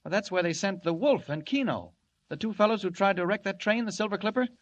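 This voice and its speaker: An actor playing a mild, polite, boyish newspaper reporter, light and courteous, in 1940s radio style. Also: male voice, high-pitched, expressive, fast. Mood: polite, curious. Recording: dull old radio recording.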